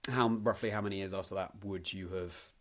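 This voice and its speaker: A man hosting a conversational interview, speaking in a thoughtful, halting, affirming way. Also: sits low, fairly even intonation, fairly bright tone. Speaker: podcast host, interviewer.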